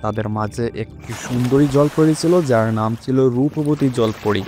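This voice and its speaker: A man's voice: medium pitch, moderate pace, a little mellow. A man giving a scripted storyteller's narration for a fairy-tale cartoon. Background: prominent music.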